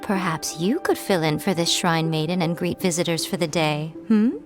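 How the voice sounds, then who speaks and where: A woman's voice: on the low side, normal speed, music in the background, natural voice. A woman playing a sly, teasing, elegant fox-like shrine priestess in a video game, with coy, airy delivery. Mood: playful, sly. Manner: coy, airy, theatrically mock-hurt. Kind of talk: English video game voice acting.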